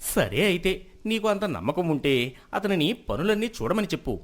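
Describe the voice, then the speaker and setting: A man's voice: sits high, sing-song, normal speed. A man playing a proud, status-minded middle-aged merchant husband in a moral story, self-justifying and then conceding. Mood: agreeable.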